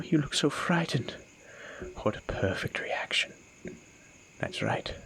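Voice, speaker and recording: A man voicing a gravelly, teasing male monster. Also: lowish voice, slight background noise, low-quality recording. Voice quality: gravelly, breathy whispers. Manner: teasing, menacing, slow and savoring. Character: sadistic monster stalking a human, male. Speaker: voice actor in an audio roleplay. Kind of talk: audio roleplay monologue.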